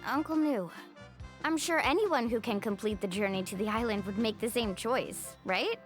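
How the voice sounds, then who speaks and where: An upbeat, loyal young guide with a somewhat high, bright voice and a sincere manner, as a woman voices her. Faint music plays behind her. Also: moderate pace, close to an everyday voice. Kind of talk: game voice acting.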